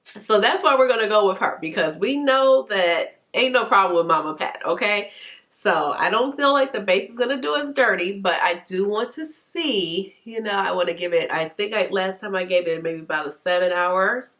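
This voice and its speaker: A woman's voice: medium pitch, lively intonation, moderate pace, fairly bright tone. A congested woman with a stuffy nose, chatting casually through a makeup tutorial and laughing often. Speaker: beauty vlogger.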